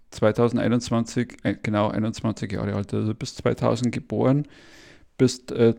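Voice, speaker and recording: A man's voice: medium pitch, gravelly, dark tone. A man hosting a podcast interview, asking questions hesitantly with many fillers. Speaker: podcast host.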